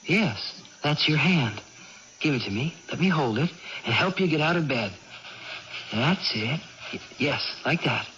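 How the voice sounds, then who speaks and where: Gentle young brother, high lively voice. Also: male voice, measured pace. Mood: tender, coaxing. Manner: coaxing, tender, simple explanations. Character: gentle, devoted young brother, earnest and patient. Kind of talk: 1980s radio drama acting. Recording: muffled old radio broadcast, faint noise.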